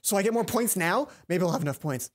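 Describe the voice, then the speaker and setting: A woman reading a visual novel aloud as a let's play host, expressive and playful, acting out the dialogue. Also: on the low side, fast talker. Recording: music behind the voice. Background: game music.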